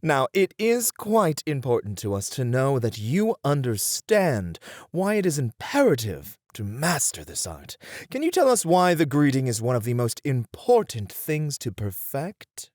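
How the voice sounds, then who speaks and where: A man acts out a courtly gentleman who teaches etiquette in an ASMR roleplay. His delivery is slow and theatrical, with lively swoops in pitch. He is formal, playful and encouraging, in a close, dry recording. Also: dry recording. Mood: encouraging, instructive. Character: courtly, playful male etiquette tutor at a ballroom. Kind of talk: ASMR roleplay acting addressed to the listener.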